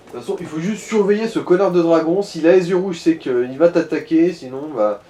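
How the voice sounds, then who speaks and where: A man's voice: on the high side, brisk, dark tone. A man giving casual, slangy Let's Play commentary and coaching a player, with some swearing. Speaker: gaming co-host guiding a player.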